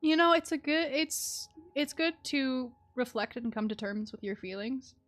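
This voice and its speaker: A woman's voice: high-pitched, lively intonation, unhurried, soft background music. A woman game streamer giving casual live reactions, with playful and sympathetic asides.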